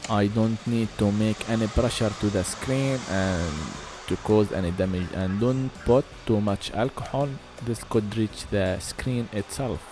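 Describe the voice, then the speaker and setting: A man giving an informal voice-over for a phone repair, speaking halting, non-native English. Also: fairly low pitch, music in the background. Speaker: phone repair video host.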